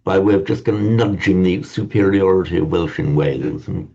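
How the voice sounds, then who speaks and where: Low gravelly husky male teacher. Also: moderate pace, dark tone. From parents from Ireland. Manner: hesitant, repeats and restarts words. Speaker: Sanskrit teacher. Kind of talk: informal class lecture. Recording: dull lo-fi sound.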